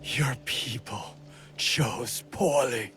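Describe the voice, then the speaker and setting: An actor playing a menacing, scornful warlord thug in a dramatic video game. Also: male voice, a little high, sing-song, slow, faint music behind. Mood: contemptuous, menacing.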